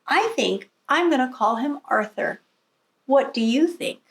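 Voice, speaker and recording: A woman's voice: high voice, expressive, unhurried. A woman voicing an eager little girl in a storybook, earnest and full of childlike wonder. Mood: proud, excited. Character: eager little girl writing about her whale. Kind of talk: storybook read-aloud.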